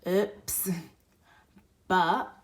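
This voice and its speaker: A woman reading a picture book aloud for toddlers, expressive, moving between hushed whispers and loud exclaims. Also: mid-range voice, very slow. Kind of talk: read-aloud bedtime story for toddlers.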